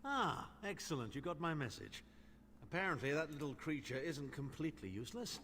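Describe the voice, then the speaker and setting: An actor playing a haughty older imperial noble mage who talks down to others with dry sarcasm, in game dialogue. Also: male voice, on the high side, very animated, fairly quick, bright, forceful tone, long reverb tail, slight background noise, full character voice. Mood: condescending. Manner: condescending, dryly sardonic.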